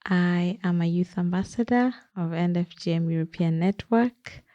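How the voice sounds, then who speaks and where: Very dark-voiced woman. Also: fairly low pitch, normal speed, dry recording, highs cut off. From Kenya. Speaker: youth activist, podcast co-host. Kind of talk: interview answers.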